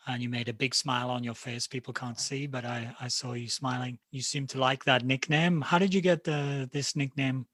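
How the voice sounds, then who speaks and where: A man's voice: mid-range voice, fairly bright tone. A man co-hosting a podcast interview, asking questions steadily. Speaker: podcast co-host.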